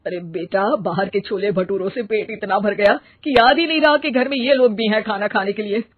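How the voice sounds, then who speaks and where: A woman voicing an enthusiastic, homely older mother-in-law with a husky voice in a cartoon story. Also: mid-range voice, brisk, no room sound, phone-quality sound, lightly acted. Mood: chiding.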